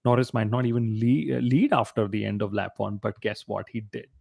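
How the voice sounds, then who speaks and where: A man's voice: on the low side, steady tone, a little fast, creaky, a little mellow, dry recording, studio quality. A man co-hosting a motorsport podcast in casual conversation, often posing rhetorical questions. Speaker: podcast co-host.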